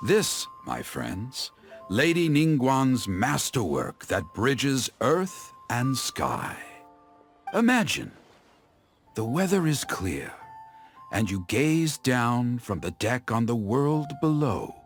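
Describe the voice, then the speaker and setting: Casting: theatrical game storyteller, flowery and dramatic, very wide intonation, deliberate pace. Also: male voice, mid-range voice, sing-song, soft background music. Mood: grand, inviting. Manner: dramatic, flowery, savors each phrase. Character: theatrical male teahouse storyteller spinning grand tales. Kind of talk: storytelling in an English game dub.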